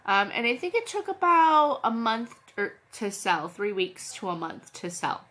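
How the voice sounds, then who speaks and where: Woman vlogging with a cold, rambly and scatterbrained, bright sharp tone, faint hum behind. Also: a little high, sing-song, measured pace. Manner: chatty, scattered, lots of ums and restarts. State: has a cold. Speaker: channel host, reseller. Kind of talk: casual vlog chat.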